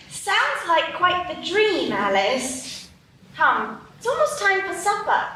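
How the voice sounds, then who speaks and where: A woman voicing a kind, gently teasing older sister in a live radio play. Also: very high-pitched, wildly swooping pitch, slow, a little hiss or hum, heavily acted. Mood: gentle. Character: Alice's kind teenage older sister Edith. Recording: echoey stage, distant mic.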